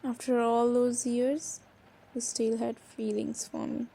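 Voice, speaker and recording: Woman acting out a shy flustered girl in a romance roleplay audio, really slow n stammery, kinda high voice, some bg noise. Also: little pitch movement, creaky, dark tone, slightly rough, exaggerated voice. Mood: tender, wondering. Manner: hesitant, stammering, breaking off and repeating words. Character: flustered, shy young woman confessing to her stepbrother.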